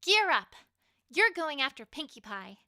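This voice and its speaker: A woman's voice: high-pitched, sing-song, a little slow, sharp tone, dry recording, clean studio sound, full character voice. A woman doing Twilight, a commanding unicorn mare. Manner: decisive and commanding.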